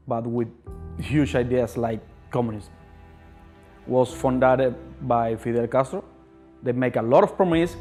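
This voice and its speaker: A man's voice: mid-range voice, a little mellow. Man, plain broken English, mid voice, faint music. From Cuba. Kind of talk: personal testimony to camera.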